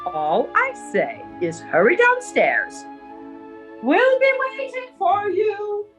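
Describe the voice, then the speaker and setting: An actress playing a snobbish, scolding older aunt in a prim, fretful manner, in 1930s radio drama style. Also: female voice, extremely high pitch, huge pitch swings, slow, a bit bright, full character voice. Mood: impatient, fretful. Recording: 1930s radio recording with music.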